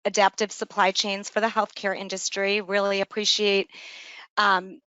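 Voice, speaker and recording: A woman's voice: medium pitch, little pitch movement, moderate pace, fairly bright tone, smooth, no room sound. A woman hosting an online business webinar and giving a practical introduction, on slightly dull meeting audio. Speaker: webinar host.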